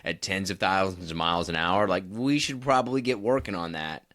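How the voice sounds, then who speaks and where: A man's voice: low voice, brisk, a bit bright. A man co-hosting a casual podcast, teasing his friend with dry, sarcastic banter. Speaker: podcast co-host.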